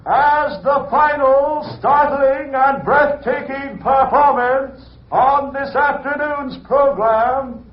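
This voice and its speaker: A man playing a bombastic circus ringmaster in an old-time radio play, hyping a trapeze act with drawn-out proclamations. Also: very high voice, full character voice. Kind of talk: old-time radio acting, showman announcing. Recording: roomy, dull old radio recording.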